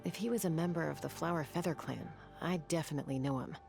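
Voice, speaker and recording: A woman's voice: deep voice, quick pace, gravelly, a little mellow, faint music behind. A woman playing a tough, commanding young gunslinger in a fantasy game, stern and probing. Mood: pensive. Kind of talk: video game dialogue acting.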